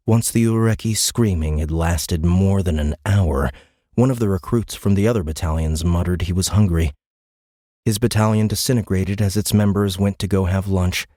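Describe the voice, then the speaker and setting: A man with a deep, low-pitched voice narrates a science fiction story. His delivery is dramatic and deliberate, recorded very dry and close in a studio. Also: mellow, no room sound. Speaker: audiobook narrator. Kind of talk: scripted sci-fi story narration.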